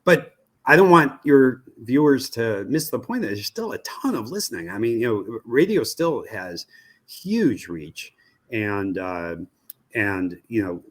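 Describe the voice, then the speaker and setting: Low-voiced older American man, halting analyst. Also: fairly bright tone. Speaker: guest, media research executive. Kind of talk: interview answers, analytical explanation.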